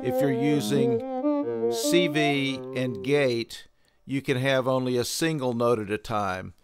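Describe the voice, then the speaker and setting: A man hosting a synthesizer tutorial, explaining gear in a measured, methodical way on a close microphone. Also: medium pitch, animated, over loud music. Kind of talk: explanatory product tutorial narration.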